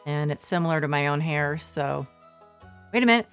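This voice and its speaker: A woman streaming a farming game from her shared living room, rambling softly with many fillers. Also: sits low, expressive, normal speed, clear, resonant tone, soft background music. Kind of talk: casual gameplay commentary.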